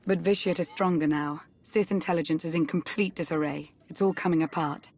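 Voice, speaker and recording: A proud, dutiful Sith spymaster in a video game cutscene. Her voice sits fairly low for a woman, and she speaks in a measured, formal, earnest way, composed even when she blames herself. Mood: grave. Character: composed, dutiful Sith intelligence chief, proud but self-reproachful woman.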